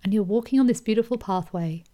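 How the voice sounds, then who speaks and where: A woman leading a guided meditation, speaking slowly and soothingly, sometimes dropping into a whisper. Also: mid-range voice. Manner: slow soothing delivery with soft whispered phrases.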